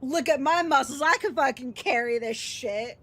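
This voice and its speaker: A woman streamer reading a visual novel aloud and commenting casually, animated, expressive and free with swearing. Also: sits high, normal speed. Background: game music.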